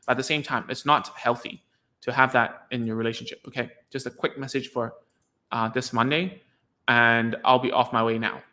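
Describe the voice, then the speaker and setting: A man's voice: medium pitch, steady tone, normal speed, bright, forceful tone. A man whose first language is Cantonese, a speech therapist giving frank, rambling casual advice to parents, full of "like" fillers.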